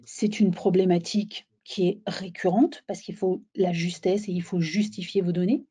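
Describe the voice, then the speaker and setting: A woman presenting a software webinar in an explanatory, somewhat halting way, as if walking listeners through slides. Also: fairly low pitch, steady tone, breathy edge.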